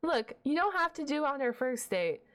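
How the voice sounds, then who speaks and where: Woman playing a shy, high-voiced half-dragon librarian. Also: sing-song, moderate pace, a bit bright. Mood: shy, excited. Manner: hesitant, bashful, rambling with awkward humour. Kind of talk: ASMR roleplay acting.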